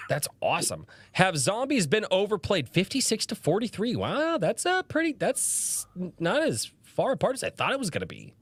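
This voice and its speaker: A man hosting a casual livestream podcast, animated and rambling, quick to laugh. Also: high-pitched, slightly rough, no room sound. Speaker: podcast host.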